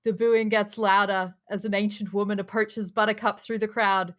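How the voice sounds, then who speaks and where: A woman's voice: mid-range voice, level tone, normal speed. A woman narrating the stage directions of a screenplay at a live table read.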